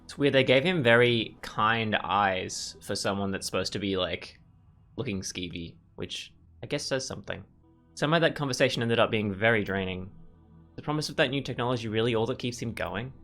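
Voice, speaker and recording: A man's voice: fairly low pitch, brisk, a bit bright, faint music behind. A man giving casual let's play commentary as a gaming video host, musing and thinking aloud as he goes. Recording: clear background noise and ambience.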